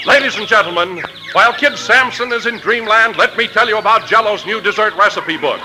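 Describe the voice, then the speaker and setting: A man's voice: high voice, fairly quick, over music, poor audio. A man reading a scripted advertisement as a polished, emphatic 1940s radio announcer. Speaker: commercial announcer. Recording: vintage radio broadcast recording.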